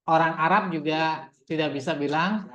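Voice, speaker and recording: A man's voice: on the high side, moderate pace, a bit bright. A man teaching Arabic in a classroom, chatty and repetitive, quizzing his students as he goes. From Indonesia. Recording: echoey room, dull lo-fi sound.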